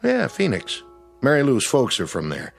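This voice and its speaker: A man's voice: medium pitch, wildly swooping pitch, normal speed, creaky, full character voice. An actor playing a friendly, puzzled, plain-spoken man who runs a roadside diner, in a radio drama with music behind. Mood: chatty. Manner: folksy, easygoing, plain-spoken.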